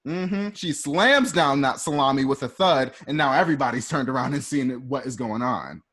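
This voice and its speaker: A man's voice: mid-range voice, moderate pace, fairly bright tone. Conversational man narrating drama scenes. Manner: conversational, storytelling. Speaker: narrator reading stage directions.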